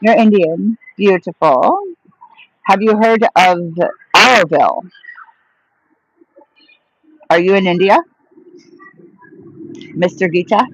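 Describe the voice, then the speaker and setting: A woman chats into her phone in a loose, rambling way, reading and answering viewer questions as they come in. Her voice sits at a medium pitch. The sound is close and dry. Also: slow, pauses often, dry recording, poor audio. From United States. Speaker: vlogger answering live chat. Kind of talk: casual live-stream chat.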